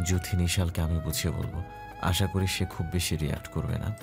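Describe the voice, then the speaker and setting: Male actor as dutiful eldest brother in an audio drama, low bass voice, composed and explanatory, music behind. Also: steady tone, a little fast. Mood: calm, earnest. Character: calm, dutiful head-of-family elder brother explaining his marriage. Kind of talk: Bengali audio story acting. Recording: music clearly playing behind.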